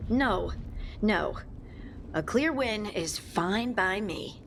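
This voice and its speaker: Earnest, plucky fighter. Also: female voice, mid-range voice, animated, very slow, gravelly, fairly bright tone, a little hiss or hum, full character voice. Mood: wry, worried. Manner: plain-spoken, sincere, conversational. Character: earnest, plucky female fighter, loyal and determined. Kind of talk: scripted fantasy game dialogue.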